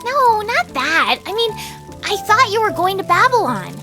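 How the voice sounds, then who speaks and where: A woman's voice: very high-pitched, big pitch swings, normal speed, music in the background. A woman voicing an anxious, emotional teenage girl in an anime-style video game, girlish and plaintive. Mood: troubled. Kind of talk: video game voice acting in anime dub manner.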